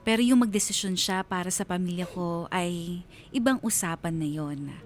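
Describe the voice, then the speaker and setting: A man's voice: high voice, normal speed, slight background noise, close to an everyday voice. An actor playing a wronged husband, narrating his letter of heartache in the first person for a radio drama, earnest and sorrowful. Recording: music bed behind the voice.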